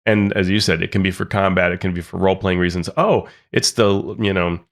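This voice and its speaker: A man's voice: low voice, brisk. A man co-hosting a podcast, chatting casually and thoughtfully, often repeating words as he thinks. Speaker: podcast co-host. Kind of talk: casual chat about tabletop games.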